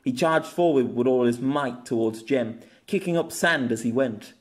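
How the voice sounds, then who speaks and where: A man's voice: mid-range voice, moderate pace. A man reading a scripted fantasy story aloud as an audiobook narrator.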